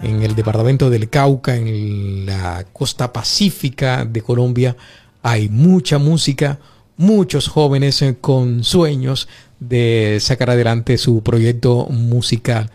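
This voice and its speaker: Creaky-voiced male interviewer. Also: mid-range voice, slow pace, a little mellow. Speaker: radio or show interviewer. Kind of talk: conversational interview.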